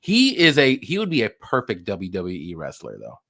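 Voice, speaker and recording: A man in his late thirties hosting a casual live stream, chatty and quick to greet viewers by name. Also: lowish voice, huge pitch swings, a bit bright. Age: 30s. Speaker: host of a betting picks show.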